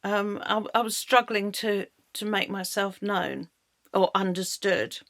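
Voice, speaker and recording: A middle-aged British woman with a slightly croaky voice, telling her own story in a halting way as she searches for words. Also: mid-range voice, steady tone, fairly bright tone, no room sound, studio quality. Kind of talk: personal storytelling monologue.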